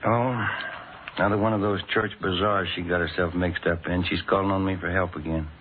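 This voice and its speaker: An actor playing a mild police detective, low-key and conversational, in a vintage radio drama. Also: male voice, fairly even intonation, brisk, close to an everyday voice. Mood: weary, chatty. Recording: tinny, noisy vintage radio recording.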